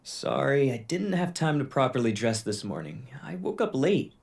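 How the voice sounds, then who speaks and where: A man's voice: medium pitch, sing-song, moderate pace, creaky. A young man playing a reassuring, polite agent in his mid-twenties in an audio drama. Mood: calm, reassuring.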